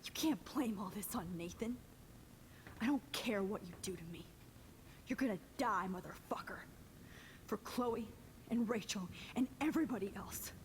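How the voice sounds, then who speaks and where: An actress playing a defiant, distraught teenage girl held captive in a video game, speaking in an intense, hushed, accusing way. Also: mid-range voice, moderate pace, long pauses, slight background noise, lightly acted, low-quality recording. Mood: defiant, angry. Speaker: heroine in a story video game. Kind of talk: dramatic video game acting.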